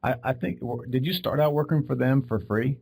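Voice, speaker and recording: A man's voice: medium pitch, fairly even intonation, a little fast, gravelly, a little mellow, slightly rough. A man hosting a podcast interview, chatting in an agreeable, conversational way and often repeating words. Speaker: podcast host. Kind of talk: casual interview talk.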